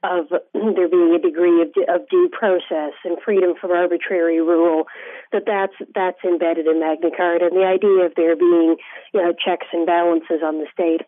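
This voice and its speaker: A woman historian from Canada answering interview questions in a measured way, with some hesitant restarts. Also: fairly low pitch, fairly even intonation, no echo. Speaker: historian guest. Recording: tinny phone-like line.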